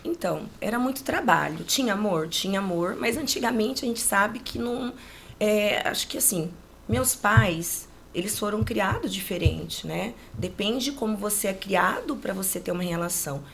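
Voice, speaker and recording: Gravelly Brazilian woman in her late forties. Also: medium pitch, lively intonation, moderate pace, fairly bright tone, a little hiss or hum. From rural Brazil. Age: late 40s. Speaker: interview guest. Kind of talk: casual personal storytelling.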